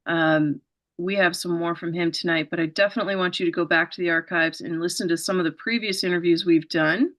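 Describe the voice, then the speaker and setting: A woman's voice: lowish voice, steady tone, a little fast, a bit bright. Earnest woman host, rambling introductions. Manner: earnest, rambling setup with many fillers. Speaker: show host. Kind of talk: interview introduction and questions.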